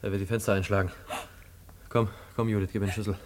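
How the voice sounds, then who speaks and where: An actor as a low, resigned young fugitive. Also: male voice, little pitch movement, fast, noisy background, close to an everyday voice. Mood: hushed, tense. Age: young adult. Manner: low-key, hushed, resigned. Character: resigned young man hiding from his pursuers. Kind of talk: 1950s German radio drama acting.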